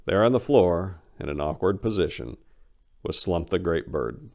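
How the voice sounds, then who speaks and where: A man's voice: deep voice, expressive, normal speed, gravelly, darkish tone. A man giving a dramatic reading of a first-person short story, with a theatrical, exasperated delivery. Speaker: story reader.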